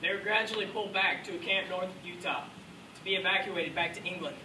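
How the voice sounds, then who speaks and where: A man plays a dutiful American paratrooper telling of combat in a war drama. The delivery is declamatory, with a high, piercing tone. The voice is picked up from far off, with faint noise behind it. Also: fast, echoey room, lightly acted. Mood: reflective. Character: male American WWII paratrooper, dutiful veteran recounting combat. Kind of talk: rehearsed dramatic war narration.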